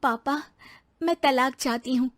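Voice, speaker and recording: A woman's voice: sits high, a little slow, pitched above the performer's own voice. A woman voicing an earnest, dutiful young wife, pleading yet firm, in a cartoon moral story. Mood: resolute.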